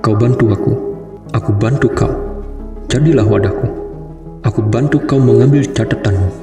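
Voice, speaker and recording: A man's voice: medium pitch, normal speed, lightly acted. Echoing ancient male guardian spirit, acted by a man. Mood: grave, urging. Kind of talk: visual novel voice acting. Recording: echoing distant voice with long reverb, under music.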